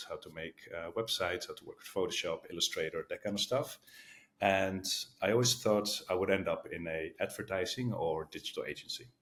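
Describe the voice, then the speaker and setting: A middle-aged man from the Netherlands with a Dutch accent tinged with American, answering interview questions in a relaxed way, thinking aloud with fillers. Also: low voice. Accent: Dutch with some American. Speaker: guest, sales leader.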